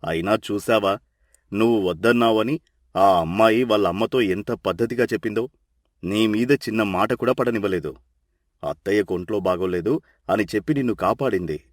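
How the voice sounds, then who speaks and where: A man playing a calm older father-in-law in a family story, measured and persuasive as he reasons. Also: lowish voice, no echo, studio quality, natural voice. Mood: gently reproving.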